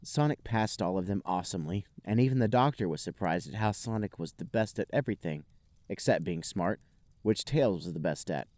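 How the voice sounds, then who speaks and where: A man with a fairly low voice reads a comic fan fiction story as a podcast host. He tells it mock-seriously, and the sound is close and dry. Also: expressive, brisk, darkish tone, no echo. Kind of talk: comedic narration of amateur fan fiction.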